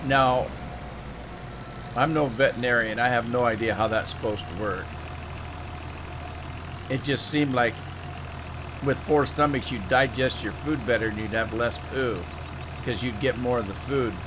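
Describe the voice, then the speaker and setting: A man's voice: mid-range voice, unhurried, pauses often. A man chats through a live stream of a farming game in a rambling, homespun manner, often stopping and restarting his thoughts. His voice is a little worn, as if he is losing it. A faint noise sits under the desk-mic recording. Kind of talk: casual live-stream chat while playing.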